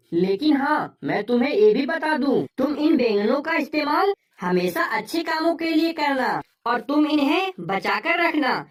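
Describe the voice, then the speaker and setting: A kind fairy from a cartoon story, put on by a woman narrator. She speaks gently, giving careful instructions. The voice is pitched a little above the narrator's own. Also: far from the mic.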